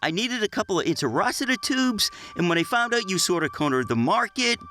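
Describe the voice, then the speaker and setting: Teenage boy, bright forceful voice, very lively pitch, slangy, stammering, plucky, motion comic acting. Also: brisk, a little hiss or hum, exaggerated voice. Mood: sheepish, hesitant. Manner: stammering, slangy, self-talking, exclamatory. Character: plucky, nervous, eager teenage boy, musician and skater.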